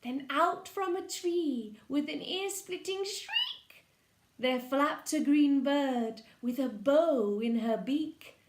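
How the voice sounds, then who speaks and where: Brief: storyteller woman, high and lively, unhurried, echoey room. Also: a little hiss or hum. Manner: animated, lively expression. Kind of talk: reading a children's picture book aloud.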